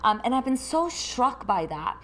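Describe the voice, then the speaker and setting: A woman's voice: on the high side, big pitch swings, moderate pace, fairly bright tone. A woman therapist who grew up in the United States, hosting her own solo podcast and reflecting aloud in an unscripted way.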